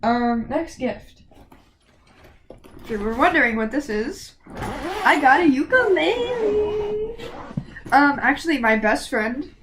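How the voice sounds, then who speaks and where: A woman's voice: high-pitched, sing-song, takes it slowly, long pauses, noisy background. A woman in her late teens chatting casually to camera as a vlogger, rambling and gushing, a bit sniffly from a cold. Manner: rambling, gushing fan talk.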